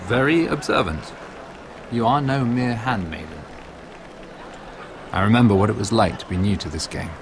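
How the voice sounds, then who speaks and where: An actor playing a smooth, calculating noble merchant in a game, with a silky, courtly, insinuating manner. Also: male voice, fairly low pitch, moderate pace, pauses often, background noise, close to an everyday voice. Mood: sly, persuasive. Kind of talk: dramatic game cutscene acting.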